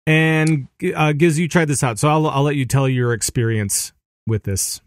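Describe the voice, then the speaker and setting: A man's voice: fairly high pitch, normal speed, no echo, clean studio sound. A man hosting a casual podcast in an easygoing way, with frequent fillers and laughs between thoughts.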